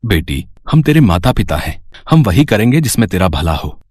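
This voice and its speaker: A man voicing a worried, devoted father in a moral story, earnest and resolute, with a husky voice. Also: on the low side, big pitch swings, moderate pace, darkish tone, no room sound.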